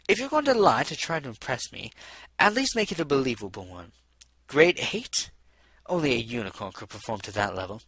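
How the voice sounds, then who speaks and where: A man's voice: medium pitch, very animated, brisk, fairly bright tone, breathy edge, dry recording, poor audio. A man voicing a haughty, skeptical female pony mayor in a storybook reading, cool and clipped in manner. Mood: skeptical, disdainful.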